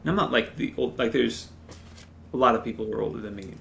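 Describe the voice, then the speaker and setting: Player, thirties, heavy vocal fry, wry and digressive, faint room hiss. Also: male voice, mid-range voice, quick pace, a bit bright. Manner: self-deprecating, digresses mid-sentence. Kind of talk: casual chat between friends.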